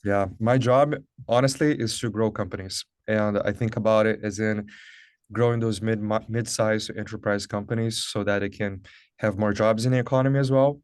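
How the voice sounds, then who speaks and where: A man's voice: lowish voice, fairly even intonation, moderate pace, darkish tone, smooth, no echo. Man from Brazil, Portuguese native, thirties, webinar guest, earnest, filler-heavy, dry close sound. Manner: upbeat, earnest, many fillers like "like" and "uh". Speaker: guest speaker. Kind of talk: conversational interview, webinar.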